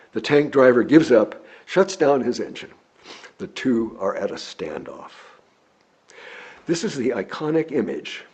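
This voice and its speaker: Husky man reading a sermon. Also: medium pitch, a little mellow. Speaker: lay preacher reading a written sermon. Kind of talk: sermon read from a script. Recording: dull, lo-fi recording.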